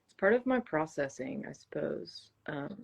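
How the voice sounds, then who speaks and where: A woman guest with plenty of vocal fry, speaking in a casual, reflective way. She is thoughtful and hesitant, often pausing to search for the right word. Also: low voice, animated. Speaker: interview guest, singer-songwriter. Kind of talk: casual reflective interview talk.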